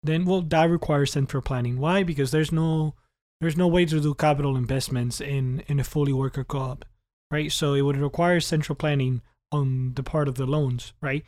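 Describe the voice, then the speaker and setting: A man's voice: fairly high pitch, normal speed. A man in his twenties who grew up in Cuba, talking casually and animatedly, often laughing and restarting his sentences. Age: 20s. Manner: animated, laughs often, repeats words when starting sentences. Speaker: podcast guest. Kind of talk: casual conversation.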